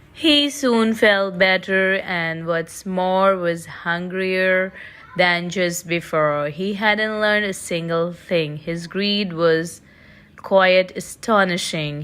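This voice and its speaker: A woman reading aloud for preschool children in a deliberate way, pausing between short phrases. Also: on the low side, clear, resonant tone.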